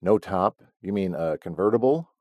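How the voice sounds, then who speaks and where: A stern middle-aged ranch boss, terse and impatient, voiced by a man narrating an audiobook. He sounds low, close, and dry. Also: lively intonation, normal speed, no echo, lo-fi sound. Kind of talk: audiobook character voice.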